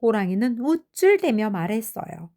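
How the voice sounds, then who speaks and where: A woman narrating children's fairy tales in an expressive, measured storybook manner. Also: fairly high pitch. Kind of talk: animated fairy tale narration.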